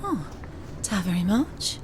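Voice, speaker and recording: A woman's voice: mid-range voice, sing-song, takes it slowly, noisy background. An actress playing a cheeky, outspoken young working-class woman in a radio drama. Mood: pleased.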